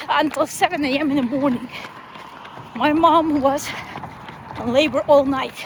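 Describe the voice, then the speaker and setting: A woman talks in relaxed, chatty bursts while she jogs beside a highway. Her voice sits high. Clear traffic noise runs behind her outdoors. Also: low-quality recording. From Chile. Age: 50s. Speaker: vlogger host. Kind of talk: casual chat while running.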